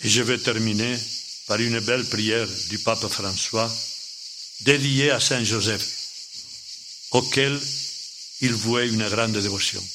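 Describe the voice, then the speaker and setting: Slow older man preaching, non-native French. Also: lowish voice, steady tone, noisy background. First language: not French. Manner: slow, halting, with short phrases and pauses. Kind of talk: sermon.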